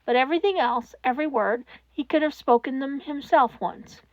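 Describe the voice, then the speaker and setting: A woman reading a fan fiction story aloud in expressive scripted narration. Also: high voice, normal speed, no echo, muffled recording.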